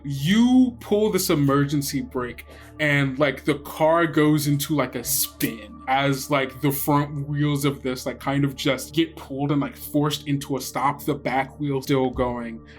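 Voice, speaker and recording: Male game master, mid-pitched, slow-paced conversational scene narration with light music beneath.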